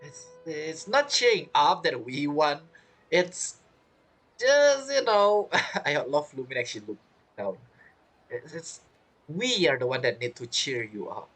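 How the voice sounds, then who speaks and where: A man's voice: a little high, sing-song, unhurried, fairly bright tone. A man giving casual, reactive commentary as he plays a game, chatty, with non-native phrasing. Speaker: streamer playing a game. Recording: game music behind the voice. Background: game music.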